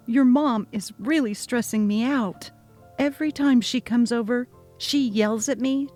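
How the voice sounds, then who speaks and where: A woman's voice: a little high, darkish tone. A young woman voicing an exhausted new mother, speaking earnestly and pleading. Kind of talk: dramatic reading of a text-message story.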